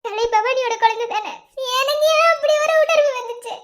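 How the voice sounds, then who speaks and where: A woman's voice: extremely high pitch, brisk, fairly bright tone. A woman playing an anguished young mother in a family melodrama, tearful, plaintive and full of questioning doubt. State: crying. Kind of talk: melodramatic family serial acting.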